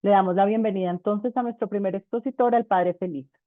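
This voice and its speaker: A woman moderator reads introductions in a formal, measured way. Her voice comes through thin and phone-like. There is no room sound around it. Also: mid-range voice, no room sound. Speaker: webinar moderator. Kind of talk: scripted event introductions. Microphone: video call. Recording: tinny phone-like call audio, dry.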